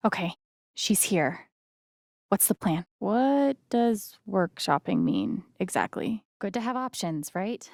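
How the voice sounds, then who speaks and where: An actress playing a friendly, wry and earnest young woman in a video game, talking in a casual, gently teasing way. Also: mid-range voice, very animated, slow pace, vocal fry, no room sound, clean studio sound, exaggerated voice. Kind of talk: naturalistic video game dialogue acting.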